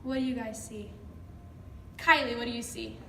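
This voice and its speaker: High-voiced woman teacher in an echoey room. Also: steady tone, normal speed, vocal fry, pushed and bright. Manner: encouraging, prompting with frequent questions. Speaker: teacher leading a read-aloud. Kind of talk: interactive picture-book read-aloud to children. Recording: echoey room, distant mic, background noise.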